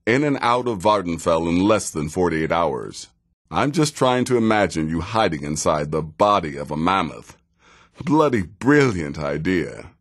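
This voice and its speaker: An actor playing a cynical, seasoned male assassin in a video game, with a dry, deadpan and deliberate manner. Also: deep voice, heavily acted. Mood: sardonic, amused. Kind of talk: video game character dialogue.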